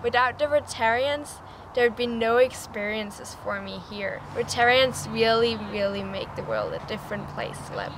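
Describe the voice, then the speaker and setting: A woman's voice: fairly high pitch, animated, moderate pace, background noise. A teenage girl from Denmark, an exchange student, speaking earnestly to the camera outdoors. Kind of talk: casual testimonial to camera. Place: outdoors on a lawn by a tree.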